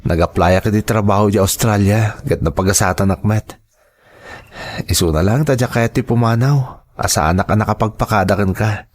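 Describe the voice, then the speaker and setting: A man's voice: on the low side, normal speed, natural voice. An actor as an earnest, hesitant young Ilocano man. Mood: apologetic. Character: earnest, shy young man in love, leaving for work abroad. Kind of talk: Ilocano radio drama acting.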